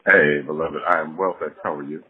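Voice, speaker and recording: Very deep, husky man on the phone. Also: fairly even intonation, a little fast. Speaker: caller's supporter and interviewer. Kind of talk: brief, polite phone conversation. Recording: narrow, tinny phone line.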